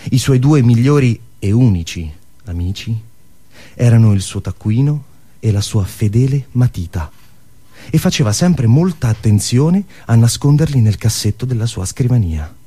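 A man narrating a scripted story theatrically, dramatic and emphatic, with staged pauses. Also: medium pitch, expressive, mellow, breathy edge.

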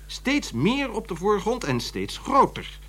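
High-pitched, fussy, stammering publisher. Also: male voice, wildly swooping pitch, slow, sharp tone, breathy edge, full character voice. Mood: exasperated. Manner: stammering, hesitant, formal. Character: apologetic, fussy male publisher, nervous but indignant. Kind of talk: radio drama dialogue.

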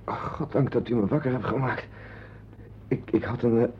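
A man's voice: moderate pace, lightly acted. An actor playing a dazed, apologetic man just woken from a nightmare in a radio play, speaking hesitantly. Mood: shaken, grateful. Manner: hesitant, faltering. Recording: dull, faintly noisy, rumbling radio recording.

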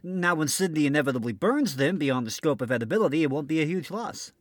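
A man's voice: a little high, animated, fast, no room sound, clean studio sound. A man hosting an old-time radio show in scripted comic banter, mock-serious with some stammering.

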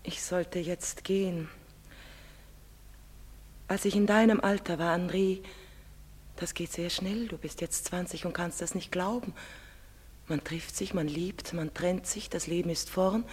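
A proud, sorrowful mother in a stage drama, with a very low and somewhat husky voice. She speaks with solemn, measured emphasis, and a long hall reverb carries every phrase. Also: fairly even intonation, darkish tone. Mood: wistful, tender. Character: proud, sorrowful middle-aged foreign mother, secretive and intense. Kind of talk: German stage-drama acting.

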